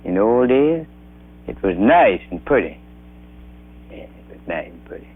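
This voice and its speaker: Soft South Carolina man, muffled old recording. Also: fairly low pitch, big pitch swings, moderate pace, breathy edge. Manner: soft, plainspoken. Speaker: local interviewee. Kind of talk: reminiscing interview talk. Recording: dull 1960s film soundtrack.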